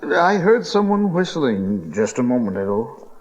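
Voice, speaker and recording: An actor playing a grave, deferential court physician in an audio Bible drama, with a hesitant manner. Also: male voice, medium pitch, sing-song, measured pace, in a large hall, a little hiss or hum. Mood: solemn. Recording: dull cassette tape transfer.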